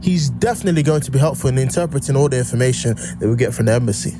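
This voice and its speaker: A man's voice: mid-range voice, very fast, natural voice. A man playing a frank, casual field operative in an audio drama. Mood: frank, concerned. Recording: clear background noise and ambience.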